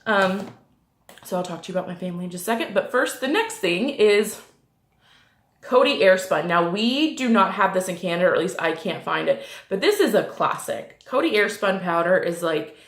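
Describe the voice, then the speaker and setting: Casual woman vlogger, mid pitch, snuffly from a cold, rambling chatty delivery, roomy home-room echo. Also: expressive, normal speed. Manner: rambling, many ums and likes, self-correcting. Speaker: beauty vlogger. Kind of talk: casual chatty makeup review.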